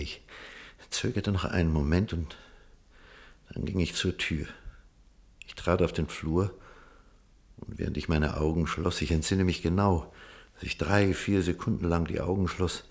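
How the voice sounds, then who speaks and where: A man playing a reserved, cynical middle-aged doctor in a German radio drama, speaking in a measured, formal way. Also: low-pitched, darkish tone. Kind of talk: German radio drama acting with first-person narration.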